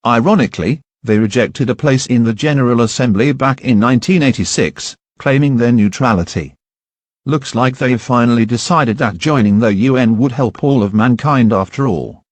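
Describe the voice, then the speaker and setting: A man's voice: medium pitch, normal speed, dry recording, natural voice. Local news anchor, male actor: mid-range voice, chatty scripted delivery, dry studio-like sound. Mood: chatty, informative. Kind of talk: scripted morning news read.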